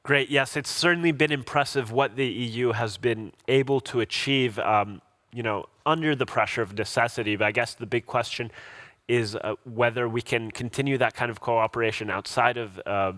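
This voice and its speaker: A man's voice: medium pitch, a bit bright. A man moderating a panel discussion in a conversational style.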